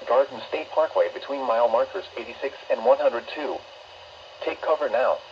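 A synthetic American male voice of middling pitch reads emergency weather alerts in a measured, mechanical way. The sound is dull and muffled, coming out of a small radio speaker. Clear noise sits behind the voice. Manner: flat, measured synthetic reading. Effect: synthetic text-to-speech voice. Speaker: automated weather radio announcer. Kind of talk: emergency alert announcement, scripted. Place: weather radio playback filmed up close indoors.

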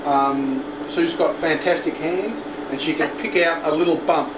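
A man in his fifties hosting a casual factory tour, chatting through explanations with pauses. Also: on the high side, steady tone. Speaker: host presenter. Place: indoors in a large factory and workroom. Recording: echoey factory, loud machine hum, distant mic.

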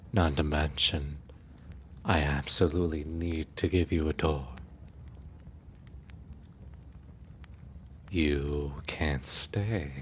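Man acting a very deep, suave immortal prince. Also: a little hiss or hum. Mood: courtly, charmed. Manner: formal, courtly, slow and teasing. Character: suave, menacing immortal prince, courtly and possessive. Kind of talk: ASMR roleplay acting.

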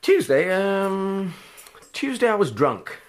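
An actor playing a flippant, hard-drinking young Victorian medical student in a radio drama, theatrical and mocking. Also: male voice, high-pitched, very animated, takes it slowly, heavily acted. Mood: flippant, cocky. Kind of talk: period radio drama acting.

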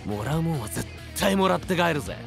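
A brash young gang boss in an anime voice drama, all swagger and coarse street talk. His voice sits high and gravelly, swinging widely in pitch. Music plays under him. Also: very animated, a little fast. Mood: defiant.

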